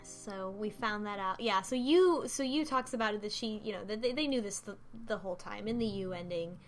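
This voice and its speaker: A woman's voice: medium pitch, fairly quick, soft background music. A woman hosting a casual book club discussion, retelling a story in a rambling, conversational way and loosely quoting characters. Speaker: podcast host recapping a story.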